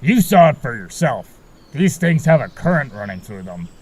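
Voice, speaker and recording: Man voicing a breezy, gravelly marsh fairy guy. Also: mid-range voice, big pitch swings, normal speed, a little mellow. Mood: explaining, assured. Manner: breezy, casual, a bit evasive. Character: carefree, cagey male marsh fairy guide. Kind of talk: audio drama acting.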